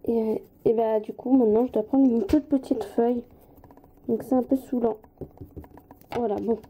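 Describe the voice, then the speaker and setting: A woman's voice: fairly high pitch, fairly even intonation, moderate pace, husky, narrow, tinny audio. A woman with a slight head cold giving a casual hands-on craft tutorial, informal and hesitant, often apologizing as she goes.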